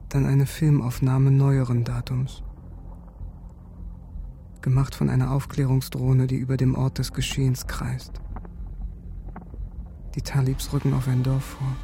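An actor playing a haunted soldier in a sombre, restrained radio drama monologue, speaking in terse phrases. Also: male voice, medium pitch, completely flat delivery, measured pace, vocal fry, long pauses, dark tone, slight background noise. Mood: grim, numb. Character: haunted male soldier recalling war atrocities.

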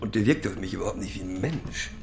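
An actor playing a bitter pub regular in a radio drama, ranting in halting, repetitive colloquial speech. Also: male voice, low-pitched, lively intonation, vocal fry, soft background music. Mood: disgusted ranting. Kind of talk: naturalistic radio drama monologue.

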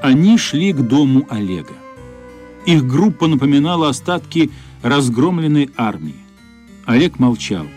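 A man's voice: medium pitch, big pitch swings, normal speed, darkish tone, faint music behind, lightly acted. A man narrating a children's radio play as a scripted storyteller. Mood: narrative. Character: male storyteller narrating a wistful children's adventure.

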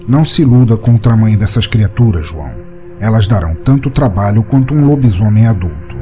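A man's voice: fairly low pitch, animated, brisk, warm tone, soft background music, close to an everyday voice. Man playing a stern, grumpy veteran hunter. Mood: stern warning. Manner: stern, commanding. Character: grumpy, seasoned older male monster-hunter leader. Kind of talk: audio short story dialogue.

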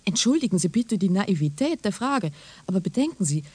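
A woman's voice: mid-range voice, sing-song, brisk, slightly rough. A woman acting a deferential, eager young television reporter in a radio drama, courteous and persuasive. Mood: curious. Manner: courteous, persuasive, formal, sometimes hushed.

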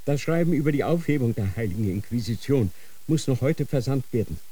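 A man acting a dying old Grand Inquisitor in a radio drama, dictating slowly and solemnly in a feverish state. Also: medium pitch, animated, mellow, close to an everyday voice. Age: older. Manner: slow, solemn dictating with sudden feverish outbursts.

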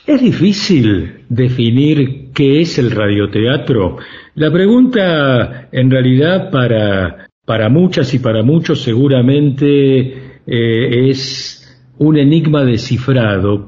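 An Argentine man interviewing on the radio in a conversational way, with long, halting, roundabout questions. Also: medium pitch, animated, dark tone. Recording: slightly dull lo-fi sound.

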